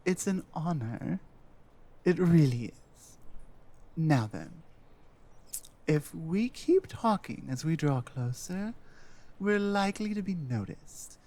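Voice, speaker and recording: A woman playing an earnest, loyal warrior-healer companion in a fantasy ASMR roleplay, with a hesitant, halting delivery. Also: low voice, expressive, dark tone, full character voice. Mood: warm, grateful. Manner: hesitant, halting, with stammered restarts. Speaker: audio roleplay performer.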